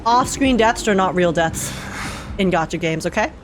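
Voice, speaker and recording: A woman gaming streamer giving casual, slangy, excitable reaction commentary, often repeating phrases for emphasis. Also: fairly low pitch, normal speed, noisy background.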